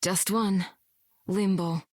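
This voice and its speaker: Game war captain, young, deadpan, sardonic, medium pitch, very dry sound. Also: female voice, slow, dry recording. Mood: cool, deadpan. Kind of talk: video game character acting.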